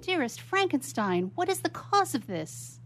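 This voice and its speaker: An actress playing a tender, worried young sister in a radio melodrama, speaking formally and solicitously. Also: female voice, sits high, big pitch swings, measured pace, fairly bright tone, faint music behind, exaggerated voice. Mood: pleading worry. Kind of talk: melodramatic 19th-century stage acting in radio theatre.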